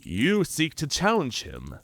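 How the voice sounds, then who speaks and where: A man's voice: on the high side, huge pitch swings, takes it slowly, a bit bright, full character voice. A man playing a cunning, proud werewolf in an audio drama, with a theatrical, drawling and mocking delivery. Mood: scornful delight. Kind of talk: cinematic audio drama acting.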